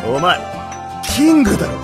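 An actor voicing a cocky, laid-back rogue in an animated fantasy game, with slangy, drawling teasing. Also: male voice, high-pitched, normal speed, over music. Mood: amazed, excitable.

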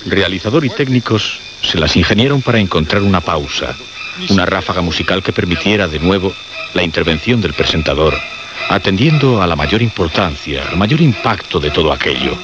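A man narrating a suspense radio drama in a measured, literary, ominous way. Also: low-pitched, animated, noisy background. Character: sober, ominous male narrator of suspense tale. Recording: dull old radio recording, murmuring background.

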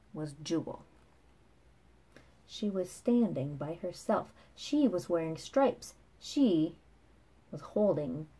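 A woman in her thirties reading a picture book aloud to children with expressive, dramatic phrasing. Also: on the low side. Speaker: children's story reader. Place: small room at home, indoors.

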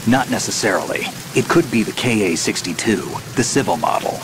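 A man voicing a gruff, stoic, wary covert operative in a video game, terse and military, with a gravelly voice. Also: on the low side, lively intonation, a little fast, over music, close to an everyday voice, rough recording. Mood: focused, businesslike.

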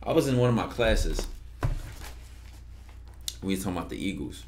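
A young man streaming from his bedroom on a headset, reading a book aloud with relaxed, slangy asides. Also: deep voice, normal speed, roomy sound, a little hiss or hum. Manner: relaxed, slangy, loud outbursts when joking.

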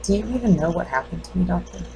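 A woman playing a grieving, defensive mother in a dramatic monologue, halting and intense. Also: lowish voice, animated, background noise. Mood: guarded, challenging. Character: grieving, defensive mother confronting her doctor.

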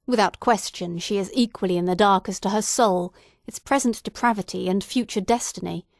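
A woman's voice: mid-range voice, a little fast, gravelly, no room sound, studio quality, lightly acted. A woman reading the part of a stern old male Puritan governor who speaks in a judging tone.

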